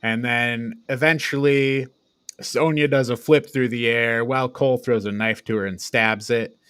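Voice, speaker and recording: A man's voice: medium pitch, fairly even intonation, moderate pace, a bit bright, clear, resonant tone, no echo, studio quality. A man co-hosting a podcast, retelling a film in casual, rambling, sweary chat with frequent chuckles. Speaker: podcast co-host.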